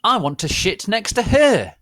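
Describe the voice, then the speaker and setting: A man voicing jealous teenage wizard boys, shouting hysterically in a comic dramatic reading. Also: sing-song, no room sound, clean studio sound, exaggerated voice. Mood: furious, shouting. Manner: shrill, hysterical, drawn-out shouting.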